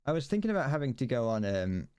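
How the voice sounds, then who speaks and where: A man's voice: medium pitch, sing-song, fast, a little mellow, clear, resonant tone, dry recording, studio quality. A young man streaming as he builds a model kit, chatting casually, rambling, correcting himself and stumbling over his words.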